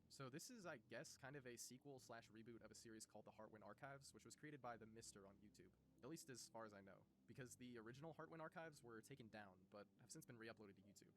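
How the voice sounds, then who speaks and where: A man reading a scripted video-essay narration in a rapid, informative and lightly wry way. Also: medium pitch, a bit bright, slight background noise.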